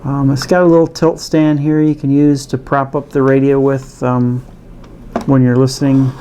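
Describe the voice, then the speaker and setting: A man's voice: mid-range voice, little pitch movement, normal speed, dark tone. A man casually demonstrating a gadget in an unscripted, easygoing, slightly hesitant way. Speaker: radio reviewer presenting a gadget. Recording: noisy home recording with radio static. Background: shortwave radio noise and static.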